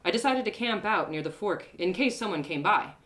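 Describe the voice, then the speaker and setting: Woman acting a melodramatic young adventurer heroine, swooping pitch. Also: expressive, a little fast, a bit bright, close to an everyday voice. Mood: weary. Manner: dramatic, exclaiming, stammering in distress. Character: naive, stranded young female adventurer, melodramatic, plucky, betrayed. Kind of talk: first-person audio drama storytelling.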